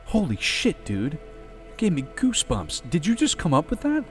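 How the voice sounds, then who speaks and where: A man's voice: medium pitch, animated, normal speed, soft background music, pitched above the performer's own voice, lightly acted. A man voicing Ross, a brash, insulting male skeleton actor in a storybook reading. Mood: awed. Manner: pushy, sarcastic, scolding. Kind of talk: storybook character voice.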